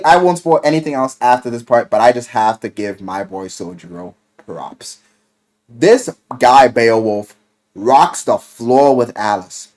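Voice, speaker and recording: A man giving an animated, casual video game review as a vlogger, with excitable, slangy, profane delivery. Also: mid-range voice.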